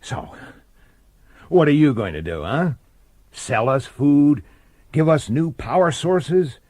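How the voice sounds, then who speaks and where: An actor playing a gruff, skeptical, exasperated police detective who questions things bluntly in a radio drama. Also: male voice, on the high side, animated, slow pace, a little mellow, dry recording, studio quality. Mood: indignant, sarcastic.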